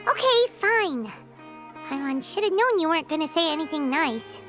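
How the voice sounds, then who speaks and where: A woman voicing a small, childlike fairy companion in a video game, chatty and loyal, with a squeaky, expressive delivery. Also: normal speed. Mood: sulky. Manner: speaks of self in third person, expressive, childish. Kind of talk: video game character acting. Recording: background music under the voice.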